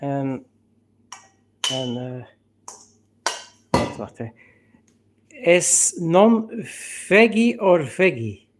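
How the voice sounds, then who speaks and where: A woman off camera relaying a guest's questions to the chef, hesitant and halting. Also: sits low, sing-song, very noisy. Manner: hesitant, halting, full of fillers.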